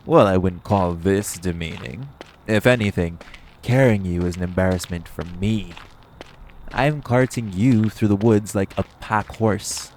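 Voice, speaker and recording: A man's voice: sits low, unhurried, darkish tone, a little hiss or hum, rough recording. A man playing a cocky, flirtatious vampire who banters sardonically and swears in an audio roleplay. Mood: playful, smug. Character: cocky, teasing, flirtatious male vampire, long-lived yet youthful.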